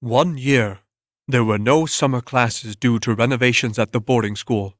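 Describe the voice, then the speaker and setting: Male storyteller, medium pitch, scripted dramatic narration, very dry close recording. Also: moderate pace, dry recording.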